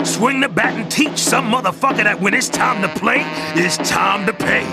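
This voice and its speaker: A man's voice: sits high, normal speed. An actor playing a flamboyant, trash-talking pimp showman, announcing brashly and boastfully in a video game. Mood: brash, taunting. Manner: brash, boastful, foul-mouthed. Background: loud music.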